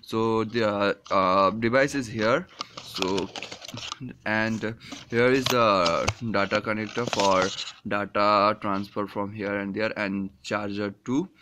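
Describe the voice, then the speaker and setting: A man in his twenties with a Nepali accent gives a casual, unscripted product review in a halting, hesitant way, with many fillers. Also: on the low side, fairly even intonation, background noise. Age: 20s. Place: small furnished room indoors.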